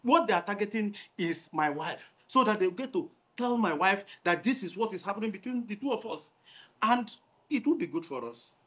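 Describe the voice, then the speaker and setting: A man playing a soft-spoken pleading chief. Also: sits high, animated, moderate pace. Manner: soft, pleading, repeats reassurances. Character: married man soothing his angry lover. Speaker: chief, mother's lover.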